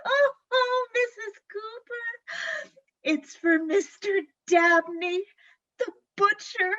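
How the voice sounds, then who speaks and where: A woman's voice: very high-pitched, takes it slowly, bright, forceful tone, highs cut off, full character voice. A woman playing a bashful, giggly, lovestruck housemaid in an old-time radio comedy, sentimental and coy.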